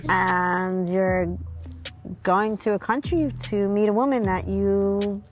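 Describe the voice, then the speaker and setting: A woman's voice: medium pitch, steady tone, slow pace. A middle-aged woman speaks earnestly about her concerns in a reality TV interview. Faint music plays beneath her.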